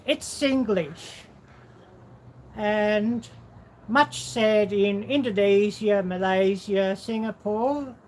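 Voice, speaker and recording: A woman chats casually on a livestream at a very unhurried pace. Her pitch sits in the middle for a woman, and she rambles as she muses aloud to viewers. There is some light ambient noise behind her voice. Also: poor audio. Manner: rambling, musing aloud while answering viewers. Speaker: livestream host.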